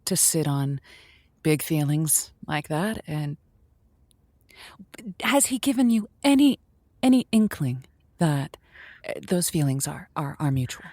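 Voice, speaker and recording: A lonely hearts radio hostess in an audio drama, sympathetic and a little tentative. Her voice sits fairly low and very dry. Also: big pitch swings, slow pace, long pauses, a little mellow, dry recording, full character voice. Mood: hesitant, probing. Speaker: host.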